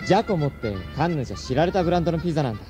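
A man's voice: fairly high pitch, lively intonation, racing, music in the background, natural voice. A man playing a breezy, cocky young pizza seller from a rich family in a Japanese radio drama. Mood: breezy, teasing.

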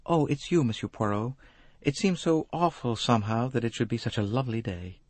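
A man voicing a gentle, bewildered young woman in an audiobook, polite and uncertain. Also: mid-range voice, fairly quick, dry recording, voice pushed up, lightly acted. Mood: puzzled, wistful.

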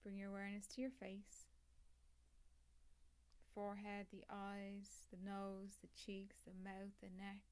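A woman leading a guided meditation as a yoga nidra teacher, calm and soothing, with measured phrases, long pauses and a soft voice that turns breathy at phrase ends. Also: mid-range voice. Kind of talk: guided meditation, scripted instruction.

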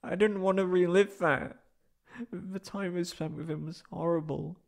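Man acting anxious wounded young catboy, ASMR roleplay, slow, gravelly creak, tearful stammer, halting. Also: high-pitched, steady tone. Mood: distraught, pleading. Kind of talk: emotional ASMR roleplay monologue.

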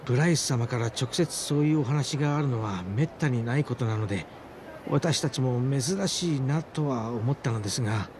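A man's voice: mid-range voice, moderate pace, background noise, lightly acted. A man playing a courteous, loyal young religious caretaker in a game cutscene, speaking formally and politely. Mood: puzzled, defensive. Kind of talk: dramatic game cutscene acting.

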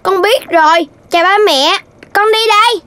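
A woman's voice: extremely high pitch, bright, forceful tone, slight background noise. A woman with a southern Vietnamese accent voicing an eager, childlike Dalmatian puppy boy in a children's cartoon. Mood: cheerful. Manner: slow, childlike, exclamatory.

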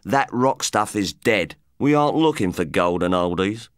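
A man's voice: lowish voice, lively intonation, normal speed, no echo, studio quality, close to an everyday voice. A man voicing a witty, flippant young rock singer who mocks, as audiobook dialogue. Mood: dismissive. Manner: mocking, flippant.

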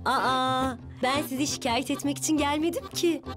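A woman's voice: sits high, normal speed, over music, lightly acted. A woman voicing a polite, friendly female bird schoolteacher in a cartoon. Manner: polite, courteous.

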